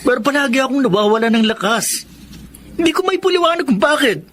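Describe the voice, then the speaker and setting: An actor playing a cheating, manipulative husband who pleads and makes excuses in a Filipino melodrama. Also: male voice, very high voice, expressive, quick pace, fairly bright tone, a little hiss or hum. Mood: self-pitying.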